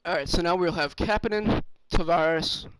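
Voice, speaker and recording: A man with a slightly hoarse, raspy voice, casually commentating on his own game and thinking aloud with lots of fillers. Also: a little high, normal speed, a bit bright, no echo, low-quality recording. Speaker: gaming streamer.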